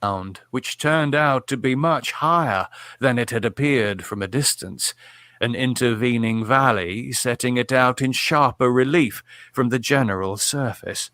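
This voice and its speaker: Male audiobook narrator, solemn measured horror reading, dry close mic. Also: medium pitch, a bit bright, no room sound.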